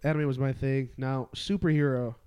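Male, casual podcast panelist, medium pitch, animated slangy storyteller. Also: moderate pace, clear, resonant tone, no echo, highs cut off. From born in the Philippines. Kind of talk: casual chat.

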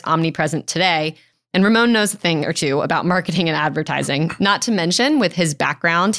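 Low-voiced upbeat woman podcast host. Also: a bit bright. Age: young adult. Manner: upbeat, frequent listening affirmations. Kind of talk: interview podcast.

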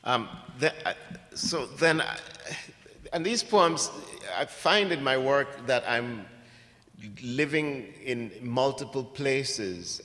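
A poet from Ghana, a man, reading his poems in a measured, deliberate way, with hesitant spoken asides between them. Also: mid-range voice, a bit bright. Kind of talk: poetry reading with spoken introductions. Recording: long hall reverb.

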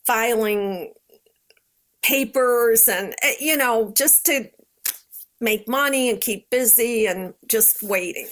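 Older American woman, slow-paced, higher voice. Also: fairly bright tone, a little hiss or hum. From Rochester, New York, United States. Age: 70s. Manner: unhurried, conversational storytelling. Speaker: interviewee, Red Cross veteran. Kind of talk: personal recollection in an interview.

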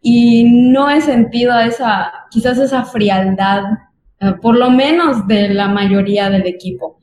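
A woman's voice: a little high, a little slow, a little mellow. A Mexican woman with a Yucatán accent, chatting casually about her work as a developer, thoughtful and hesitant with many fillers. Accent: Yucatán Mexican Spanish. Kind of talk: casual chat. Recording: echoey room.

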